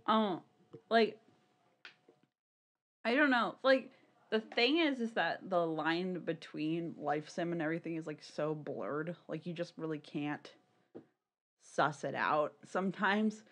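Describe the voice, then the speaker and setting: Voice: medium pitch, slow pace, long pauses, fairly bright tone. A podcast co-host chatting casually and swearing freely about a hobby. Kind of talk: casual podcast chat about visual novels.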